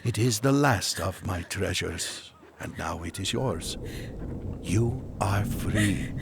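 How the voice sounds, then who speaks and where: An actor playing an aged, devout Magian seeker, gentle but resolute, speaking in solemn, halting oratory in an audio drama. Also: male voice, deep voice, vocal fry, very noisy, exaggerated voice. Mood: tender, weary.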